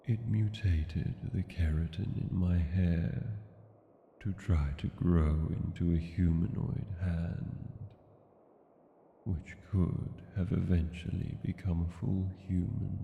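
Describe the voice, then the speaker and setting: Man voicing a very slow, deep dragon king. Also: full character voice. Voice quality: gravelly low voice. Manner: slow, deliberate, hushed storytelling with dramatic pauses. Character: ancient mad dragon king, tender, brooding lover. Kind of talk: scripted fantasy audio roleplay, addressing a lover. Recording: echoey cavern-like reverb, dull, noisy.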